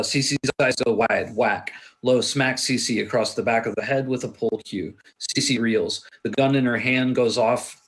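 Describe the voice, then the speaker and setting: A man's voice: mid-range voice, a bit bright, low-quality recording. A man reading scripted action narration aloud at a table read. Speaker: stage directions reader.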